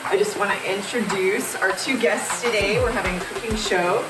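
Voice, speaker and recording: A woman hosts a cooking show, giving friendly, straightforward introductions. Loud music plays behind her voice. She was recorded from afar in an echoey room. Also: mid-range voice, moderate pace. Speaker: host and supervisor. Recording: echoey room from a distant mic.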